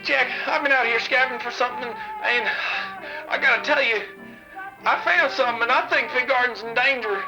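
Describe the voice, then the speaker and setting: A man's voice: very high voice, a little fast, muffled recording, music in the background. A man playing a frantic, pleading scavenger sending an urgent warning over radio in an audio drama. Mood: alarmed. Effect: radio transmission.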